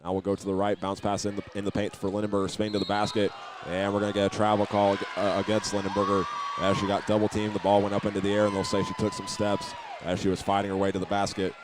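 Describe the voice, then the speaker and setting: Low, even-toned man calling basketball. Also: quick pace. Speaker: play-by-play announcer. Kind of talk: live high school basketball radio commentary. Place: indoor school basketball gym. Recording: live gym broadcast with clear background noise.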